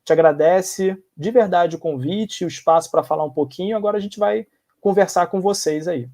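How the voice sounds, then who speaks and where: Brazilian male panelist, slightly high pitch, notably mellow timbre, informal conversational delivery. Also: moderate pace. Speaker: guest panelist.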